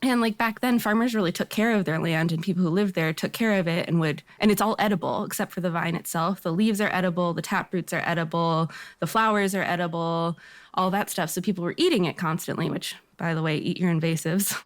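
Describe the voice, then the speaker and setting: A woman's voice: fairly low pitch, fairly quick. A woman talking casually and with effusive enthusiasm as a guest on a show. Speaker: podcast guest. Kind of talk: casual excited chat.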